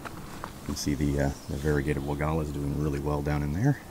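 A man giving a casual walking tour of his backyard garden outdoors, chatty and relaxed as he points things out on a handheld camera. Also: a bass voice, brisk, background noise. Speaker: homestead vlogger. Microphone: handheld camera held while walking.

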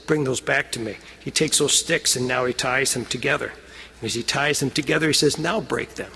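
A middle-aged American man preaches a homily in a high, husky voice. He is earnest and emphatic, stretching key phrases for weight. His words ring with long reverb from a chapel pulpit microphone. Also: fairly bright tone. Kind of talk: homily, telling stories and exhorting. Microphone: gooseneck lectern microphone.

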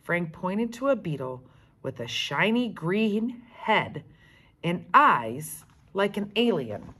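Slow, very animated woman reading aloud. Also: fairly low pitch, slightly rough. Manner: expressive, deliberate reading. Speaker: teacher reading aloud to children. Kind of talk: read-aloud storytelling with explanatory asides. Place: school classroom, indoors.

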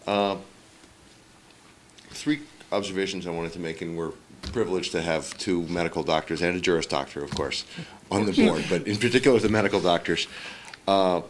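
A middle-aged man giving public comment at a school board meeting from a podium microphone in a large meeting room, measured with a light wry touch. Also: deep voice, slight background noise.

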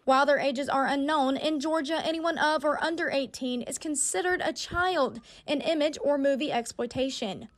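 A young woman works as a TV reporter, her voice high-pitched. She delivers a scripted field report into a handheld mic. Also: moderate pace, few pauses, dry recording. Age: 20s. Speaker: TV news reporter. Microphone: handheld microphone.

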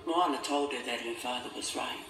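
A man narrating a scripted children's story in a measured, expressive storytelling manner. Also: medium pitch. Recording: roomy, distant, music behind.

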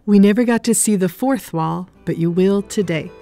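A woman's voice: mid-range voice, moderate pace, mellow, soft background music. A woman reading scripted narration as the guide of a house tour video.

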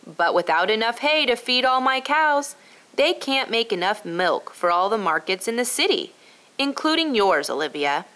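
A woman's voice: fairly high pitch, sing-song, moderate pace, a bit bright. A woman voicing a friendly, earnest male dairy farmer in a children's storybook. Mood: worried. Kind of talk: children's storybook read-aloud.

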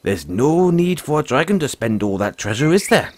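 A man voicing a friendly, philosophical dragon rider and gentle mentor in an audio roleplay, speaking in a folksy, earnest, musing way. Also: on the high side, very animated, moderate pace, a little hiss or hum. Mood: earnest, explaining. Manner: folksy, earnest, musing, says "aye" and "lad". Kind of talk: audio roleplay addressed to the listener.

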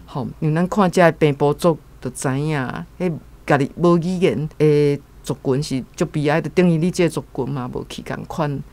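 A woman's voice: sits low, fairly quick, few pauses, darkish tone. A woman with a Taiwanese accent speaking earnestly and informally as a guest commentator.

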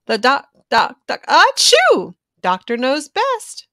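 A woman's voice: high voice, huge pitch swings, takes it slowly, pushed and bright, no room sound, clean studio sound, voice pushed up, exaggerated voice. A woman voicing a boastful, stubborn father bear in a children's storybook, with a blustering, dismissive manner. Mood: sneezy, insistent.

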